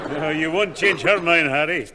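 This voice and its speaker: An actor playing a genial, hospitable elderly grandfather in a 1960s radio sitcom. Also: fairly high pitch. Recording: echoing, noisy old radio recording.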